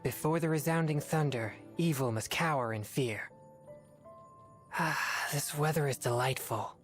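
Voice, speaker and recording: A woman voicing an earnest, formal teenage boy exorcist in a video game, speaking in a measured way. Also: low voice, faint music behind. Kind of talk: video game character voice lines.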